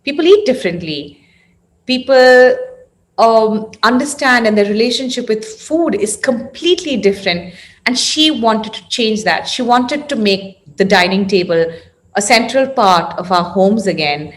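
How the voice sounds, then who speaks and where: A woman's voice: a little high, measured pace. A woman hosting an interview, speaking in a conversational, welcoming way as she introduces things. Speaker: interview host, founder of a parenting series.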